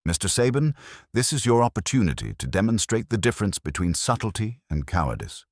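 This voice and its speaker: A man voicing an imperious master detective in an audiobook, formal, pompous and deliberate. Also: fairly low pitch, sing-song, darkish tone, no room sound, studio quality, pitched above the performer's own voice. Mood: stern. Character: imperious, verbose male master detective, cool and commanding.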